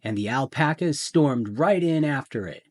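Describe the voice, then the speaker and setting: A man reading a children's picture book aloud in a theatrical way, with deliberate pauses and emphasis. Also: medium pitch, animated, darkish tone. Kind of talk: dramatic children's storybook narration.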